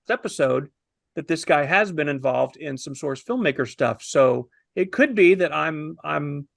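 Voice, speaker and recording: A man in his fifties with a fairly high voice that crackles with fry. He talks casually and admiringly as a panelist reviewing a film, and the sound is close and dry. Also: lively intonation, moderate pace, no echo. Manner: enthusiastic, admiring. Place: indoors, on a video call.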